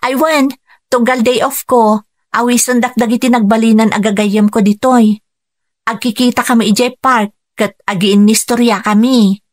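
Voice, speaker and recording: An actress plays a gentle, earnest wife with a Filipino accent. Her voice sits a little high and is captured very dry, in the style of a radio drama. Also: steady tone, normal speed, no room sound, lightly acted. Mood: reassuring. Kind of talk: Ilocano radio drama acting.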